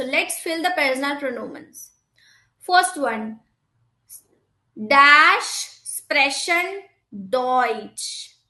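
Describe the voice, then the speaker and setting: A woman with an Indian English accent teaching a beginner German class. Her voice is high and bright, and she speaks very slowly, modelling each sentence carefully. The recording has a noticeable room echo. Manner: slow deliberate model sentences then quick English glosses. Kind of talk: language lesson explaining exercises.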